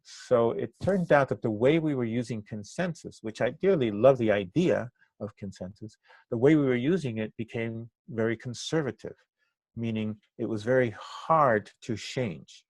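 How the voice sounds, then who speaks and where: An older man from Cuba, a native Spanish speaker, giving reflective interview answers in halting, thoughtful phrases. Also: medium pitch, a little mellow, dry recording. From Cuba, moved to the US at eight. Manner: halting, thoughtful phrasing with pauses mid-sentence. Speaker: interview guest, activist and trainer.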